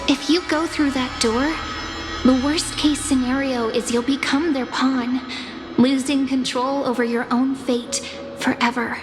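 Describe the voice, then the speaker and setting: Game cutscene: gentle stranded woman, soft and coaxing, unhurried, higher register; music bed. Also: big-room sound. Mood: earnest warning. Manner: soft, calm, persuasive. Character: gentle mysterious woman trapped in an empty void.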